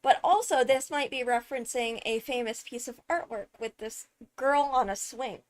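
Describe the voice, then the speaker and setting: A woman giving casual, analytical commentary on a video, animated and emphatic on key words. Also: fairly high pitch, moderate pace, a little hiss or hum. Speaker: video host, game lore commentator. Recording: background music behind the voice. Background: music.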